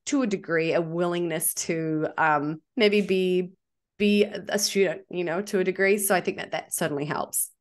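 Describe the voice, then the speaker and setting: A woman in her thirties with a New Zealand accent speaks in a low register. She talks candidly and reflectively, often restarting her sentences. She is recorded on a studio mic from a small room during a video call. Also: normal speed. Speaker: guest, CrossFit coach and athlete. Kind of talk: casual interview talk. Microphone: studio mic with headphones.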